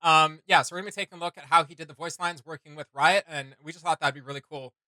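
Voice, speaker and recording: A man's voice: on the high side, steady tone, fast talker, pushed and bright, dry recording. A man hosting a reaction video in casual commentary, often hesitating as he searches for words.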